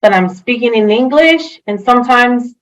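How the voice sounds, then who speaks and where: A woman's voice: on the high side, animated, moderate pace, low-quality recording. A woman whose first language is French, chatting as a guest in an interview, enthusiastic, with many fillers and restarts. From Haitian family, grew up speaking French and Creole. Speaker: guest, HR consultant. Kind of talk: casual interview chat.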